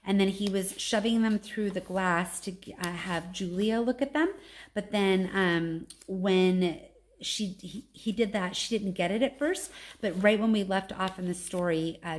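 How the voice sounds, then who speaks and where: A woman teacher reading a children's novel aloud in an expressive storytelling style. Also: on the low side, measured pace.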